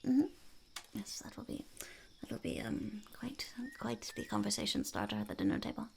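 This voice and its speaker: Woman playing a female goblin peddler, very gravelly creak, whispery ASMR, lively intonation, deadpan, cynical, close mic. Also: mid-range voice, normal speed, full character voice. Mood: playful, musing. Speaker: ASMR roleplayer. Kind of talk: soft-spoken, whispery ASMR roleplay. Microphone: close ASMR microphone. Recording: close-up with clicks and faint noise.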